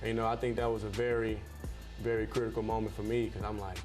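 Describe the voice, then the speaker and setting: A man's voice: fairly low pitch, level tone, fast. A seventeen-year-old man chatting casually and slangily in an interview as a basketball player, often repeating his phrases. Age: teenager, seventeen. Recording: music bed behind the voice.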